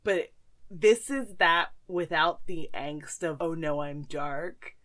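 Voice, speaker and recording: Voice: medium pitch, slow pace, fairly bright tone. A podcast host in casual fan chat, gushing and slangy, with frequent swearing.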